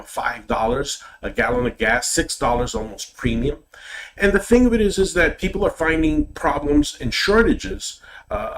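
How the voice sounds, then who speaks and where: Interviewee: middle-aged male political candidate, Puerto Rican accent, native Spanish speaker, mid-pitched, hesitant with repeated words. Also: background noise. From Puerto Rico. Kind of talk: interview answers.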